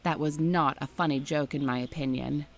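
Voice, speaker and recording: Low-voiced woman narrating a story. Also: moderate pace, a crowd in the background. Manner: steady storytelling read. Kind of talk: scripted narration.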